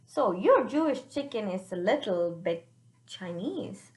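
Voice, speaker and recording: A woman's voice: medium pitch, very animated, unhurried, reverberant. A woman voicing a clever, earnest little girl in a children's storybook, announcing things carefully. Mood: curious.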